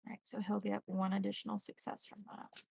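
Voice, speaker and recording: Woman game master with a creaky voice. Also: mid-range voice, monotone, fairly quick, dark tone, no echo. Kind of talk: tabletop roleplay narration and rules calls.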